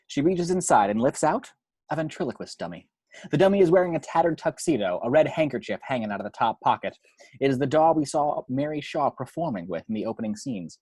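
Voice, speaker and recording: A man's voice: medium pitch, a little mellow, slightly rough, no echo. A man reading out the action description of a screenplay as a scripted narrator.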